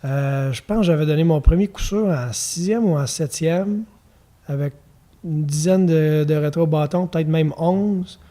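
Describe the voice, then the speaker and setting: Relaxed Quebec French man telling stories. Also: a little high, moderate pace. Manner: relaxed storytelling with fillers and chuckles. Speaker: guest, former baseball pitcher. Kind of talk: casual podcast conversation.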